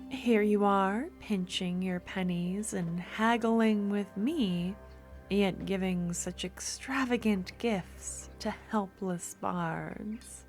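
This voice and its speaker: A woman voicing a jealous female merchant in a scripted ASMR roleplay, speaking softly and sweetly, with a possessive streak. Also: medium pitch. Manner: soft-spoken, sweetly teasing, turning possessive.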